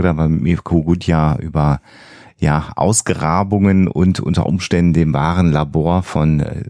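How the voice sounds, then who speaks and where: Low, mellow man hosting a podcast. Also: creaky, dry recording, studio quality. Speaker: podcast host; psychologist. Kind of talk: casual scripted-intro podcast talk.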